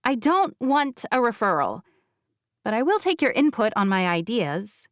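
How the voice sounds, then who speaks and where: A woman's voice: on the high side, very animated, normal speed, dry recording, clean studio sound. A woman narrator voicing a prickly, wry young woman in audiobook dialogue, blunt and emphatic. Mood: wry, stubborn.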